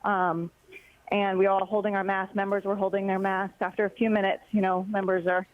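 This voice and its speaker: A woman's voice: medium pitch, little pitch movement, fairly quick, no echo. A young woman reporter phoning in a live report, recounting events hesitantly with many filler words. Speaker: congressional reporter. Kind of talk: live phone report on breaking news. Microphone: phone. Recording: muffled lo-fi phone line.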